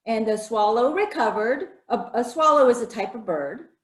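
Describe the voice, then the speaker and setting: A woman's voice: on the high side, expressive, moderate pace. A woman storyteller performing a folktale read-aloud for children, addressing listeners directly with questions and prompts to join in. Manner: interactive, asking listeners questions and inviting repetition. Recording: roomy, echoing sound.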